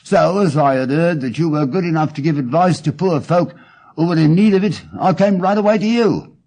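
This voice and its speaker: A man's voice: fairly high pitch, brisk, a little mellow, voice pushed up, natural voice. A man with an English accent voicing an earnest, indignant tradesman, plaintive and deferential, in an audiobook reading. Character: aggrieved, simple male London tradesman, earnest and indignant. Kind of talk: dramatic audiobook character reading.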